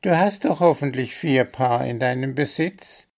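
A man's voice: mid-range voice, measured pace, darkish tone, pitched above the performer's own voice, lightly acted. A man voicing a talkative owl who speaks for a group of forest animals. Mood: persuasive.

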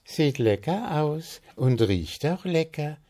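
A bright, high and chirpy voice for a friendly male gnome, as the reader puts him on. He teases his friend good-naturedly in a light, cheerful storybook way. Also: animated, takes it slowly. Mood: teasing.

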